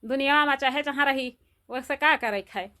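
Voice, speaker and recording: A woman voicing an excitable, complaining, gossipy village woman in a cartoon, exclaiming and repeating herself in an Awadhi accent. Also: high voice, moderate pace. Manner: repetitive, exclamatory. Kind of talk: amateur cartoon voice acting.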